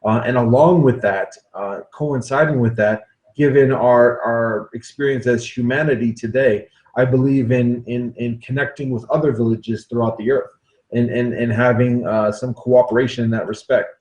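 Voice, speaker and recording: A man hosts a conversational podcast, speaking at a middle pitch. He talks in a halting, reflective way, padding his thoughts with ums and restarts. His voice carries a noticeable room echo. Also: fairly even intonation, darkish tone. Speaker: podcast host. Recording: roomy echo.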